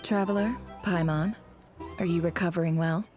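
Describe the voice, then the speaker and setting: A woman's voice: lowish voice, fairly even intonation, moderate pace, darkish tone, faint music behind, close to an everyday voice. A woman voicing a cool, poised, self-assured character with a dry manner in a video game. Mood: composed.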